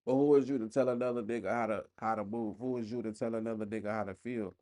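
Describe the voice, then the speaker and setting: A man's voice: on the low side, fairly even intonation, fast, warm tone. A man giving casual, off-the-cuff reaction commentary, speaking in emphatic slang straight to his viewers. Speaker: reaction video host.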